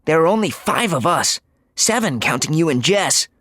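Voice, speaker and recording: A man's voice: on the high side, big pitch swings, normal speed, a bit bright, dry recording, studio quality, voice pushed up. Brief: male narrator doing a jittery teen hero, raised and wavering voice. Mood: anxious. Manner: urgent, anxious. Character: teenage boy, nervous, earnest, frightened.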